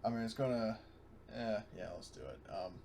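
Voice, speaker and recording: A man's voice: on the low side, animated, normal speed, gravelly, warm tone, a little hiss or hum. A man chatting casually and a bit profanely as a player in an online tabletop game.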